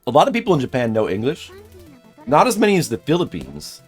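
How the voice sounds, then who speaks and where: A man's voice: medium pitch, wildly swooping pitch, fast, husky, faint music behind. A man streaming a game and chatting casually, thinking aloud in a rambling way and answering chat messages.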